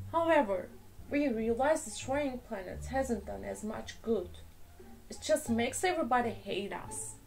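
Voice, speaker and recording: A woman's voice: a little high, poor audio. A woman voicing a pompous alien empire chief who gets flustered when challenged, in a children's storybook read-aloud.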